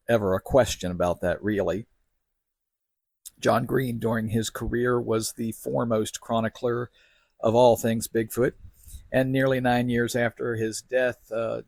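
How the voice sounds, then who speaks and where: A man hosting a podcast, delivering semi-scripted commentary in a folksy, wry manner with deliberate phrasing. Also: mid-range voice, gravelly, slightly rough, no room sound, studio quality. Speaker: podcast host.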